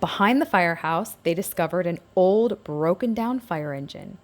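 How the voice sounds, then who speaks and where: A woman's voice: lowish voice, expressive, moderate pace, darkish tone. A woman narrating a scripted picture book aloud for young children, as a storybook reader.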